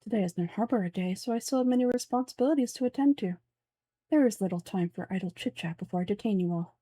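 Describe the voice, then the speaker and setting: A woman's voice: mid-range voice, fast, warm tone, dry recording, natural voice. A woman voicing a pompous, fanatical older male sage from a game, speaking formally and in declamatory fashion. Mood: coldly courteous. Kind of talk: game dialogue dub.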